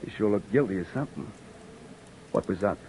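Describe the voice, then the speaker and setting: An actor playing a weary beat cop who narrates and talks with dry wisecracks, in an old radio drama. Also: male voice, fairly low pitch, animated, a little fast, dark tone, close to an everyday voice, low-quality recording. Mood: wry. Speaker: narrator and lead. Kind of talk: 1950s radio drama acting. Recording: old radio recording with faint hiss.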